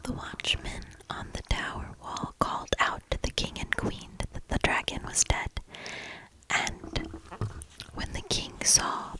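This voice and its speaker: A woman whispering a fairy tale as ASMR, soft-spoken and deliberate, with measured storybook phrasing. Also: low-quality recording.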